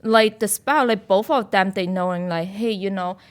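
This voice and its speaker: Woman guesting on a podcast, chatty, lots of "like", gets loud when she's making a point. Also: medium pitch, moderate pace. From born in China, raised in Hong Kong. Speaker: guest, bridal shop owner. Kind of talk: casual podcast chat.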